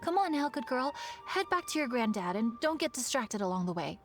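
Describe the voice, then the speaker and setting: A woman's voice: on the high side, very animated, brisk, faint music behind. A woman voicing a courteous, caring, responsible townswoman in a video game. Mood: doting. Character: kindly, responsible townswoman running festival preparations.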